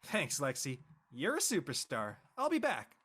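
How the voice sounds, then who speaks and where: A man's voice: on the high side, huge pitch swings, measured pace, fairly bright tone, slightly rough, exaggerated voice. A man playing an awkward, easily embarrassed teenage boy with a nervous, stammering delivery in a casual audio drama. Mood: sheepish.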